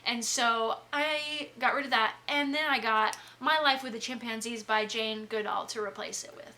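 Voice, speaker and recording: A woman from the Southern US gives her frank opinions on books in a bright, crisp voice. Her pitch sits a little high, and she laughs easily between her points. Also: steady tone, a little hiss or hum. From Southern United States. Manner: candid, opinionated, quick to laugh. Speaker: book podcast co-host. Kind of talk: casual chat.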